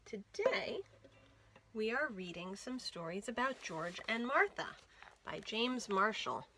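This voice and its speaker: A woman in her thirties reads a picture book to young children. Her voice is bright and a little high, and she takes her time with careful, expressive phrasing. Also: slow, a little hiss or hum. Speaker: children's librarian and storyteller. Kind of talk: animated picture-book read-aloud for children. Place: small indoor room lined with bookshelves.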